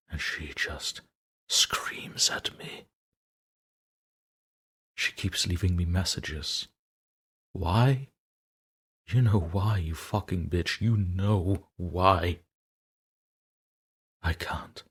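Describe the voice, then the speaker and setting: A man's voice: extremely low pitch, fairly bright tone, breathy edge, heavily acted. A man playing an exhausted, guilt-ridden young man who is falling apart, reading his journal in a trembling, stammering, pleading voice. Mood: haunted, whispering. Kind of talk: horror story narration, journal reading.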